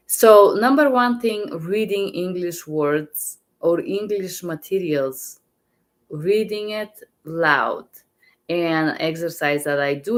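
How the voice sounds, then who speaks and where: Slow, low-voiced woman with an accent. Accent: Bulgarian. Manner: halting, repeats words, restarts often. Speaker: medical interpreter trainer. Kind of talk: casual live-stream teaching.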